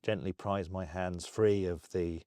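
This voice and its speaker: A man's voice: deep voice, steady tone, a little slow, warm tone, no room sound, clean studio sound. A man, a writer, answering in an interview in a thoughtful, slightly hesitant way. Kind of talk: interview chat.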